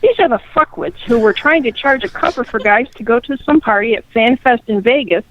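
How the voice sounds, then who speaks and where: A woman's voice: fairly high pitch, animated, fairly quick, no room sound. Middle-aged woman reading aloud, slightly muffled. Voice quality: clear, fluent reading. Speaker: guest reader. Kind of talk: reading online comments aloud.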